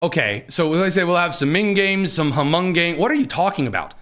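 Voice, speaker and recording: Sarcastic high-pitched male commentary host. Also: big pitch swings, a bit bright. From Indiana. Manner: mocking, sarcastic, quick asides.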